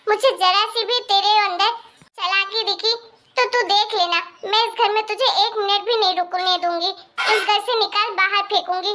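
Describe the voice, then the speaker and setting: A woman's voice: very high-pitched, pushed and bright. A woman voicing a stern, suspicious grandmother who scolds her daughter-in-law in a moral-story cartoon, accusatory and commanding. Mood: stern warning.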